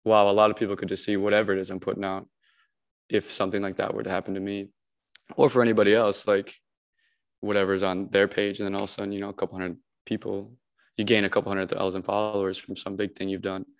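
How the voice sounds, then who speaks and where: Gravelly low-voiced man musing hesitantly. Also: flat delivery, quick pace. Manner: hesitant, lots of fillers. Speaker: podcast host. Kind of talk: casual conversation.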